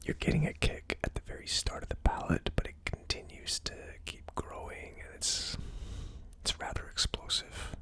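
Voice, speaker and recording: An ASMR host giving a hesitant, thinking-aloud tasting commentary in a breathy, unvoiced whisper. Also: normal speed, a bit bright. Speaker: whiskey reviewer, ASMR host.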